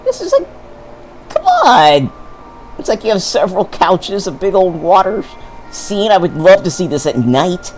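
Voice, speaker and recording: A man's voice: sits high, wildly swooping pitch, unhurried, a little mellow, faint music behind, poor audio. A man chatting enthusiastically with a friend while playing a game, laughing a lot. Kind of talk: casual let's-play chat.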